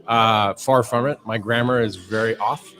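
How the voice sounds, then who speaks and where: A man from Southern California, a club board member, talking casually in an interview with many pauses and fillers. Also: on the low side, steady tone. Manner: hesitant, many fillers and restarts. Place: club bar during a social evening. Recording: loud crowd chatter behind.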